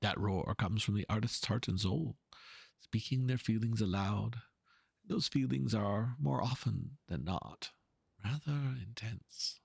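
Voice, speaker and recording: A man playing an earnest, pompous painter in a dramatic reading of game dialogue, speaking in a florid, halting, theatrical way. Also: lowish voice, vocal fry, slightly rough, no echo, heavily acted. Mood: reverent, fervent. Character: theatrical, earnest male painter, pompous and fervent about art.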